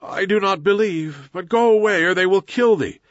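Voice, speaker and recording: Man as an anguished, high-voiced village mother. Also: normal speed, dry recording, muffled recording, natural voice. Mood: anguished pleading. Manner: crying out, pleading. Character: anguished, loving village woman, a devoted mother.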